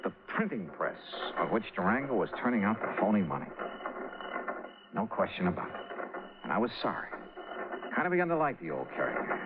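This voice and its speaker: Wry radio detective, swooping delivery. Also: male voice, fairly low pitch, very animated, a little fast, pauses often, noisy background. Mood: wry narration. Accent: American. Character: smooth, wry male insurance investigator, self-assured narrator. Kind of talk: 1950s radio detective drama acting. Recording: muffled vintage radio broadcast, music behind.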